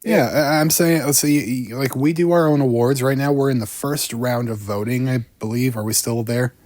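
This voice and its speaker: Male film-podcast co-host, deadpan jokes, trailing delivery, dry close sound. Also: medium pitch, normal speed, dry recording. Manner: dry, jokey, trailing off quietly. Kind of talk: casual film podcast chat.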